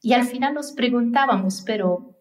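A woman's voice: a little high, normal speed. A woman host posing a long, conversational question, with fillers and small restarts. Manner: conversational, explains at length with fillers. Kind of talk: podcast interview.

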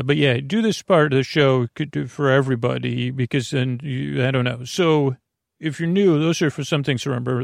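A man hosting a bedtime podcast in a creaky, gravelly voice, rambling in a halting, meandering way and drifting into tangents mid-sentence. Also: mid-range voice, dry recording, clean studio sound. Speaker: podcast host. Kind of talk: rambling bedtime monologue for falling asleep.

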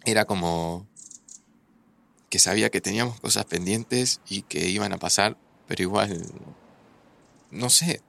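A man's voice: fairly low pitch, unhurried, gravelly, pauses often, bright, forceful tone, heavily acted. An actor playing an earnest, hesitant man in his thirties, hurt and grieving, with a Rioplatense Argentine accent, in an audio drama. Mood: grieving, gentle. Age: 30s. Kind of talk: naturalistic audio drama acting.

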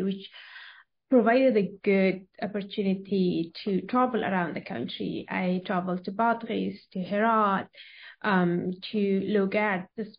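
Hesitant woman from Afghanistan, lo-fi. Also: medium pitch. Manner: hesitant, many fillers and restarts. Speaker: guest. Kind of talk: interview answers. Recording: dull lo-fi sound.

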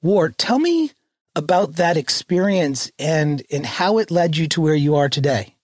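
A man hosts an interview, asking friendly, inquisitive questions in a measured way. His voice is fairly high for a man. The sound is dry and close. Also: lively intonation, dry recording. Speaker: interviewer host.

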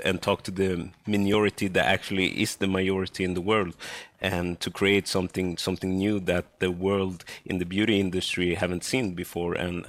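A man raised in Sweden, a model and beauty brand founder, speaking earnestly on a panel, often halting to find his words. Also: low voice, no room sound. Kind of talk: panel discussion.

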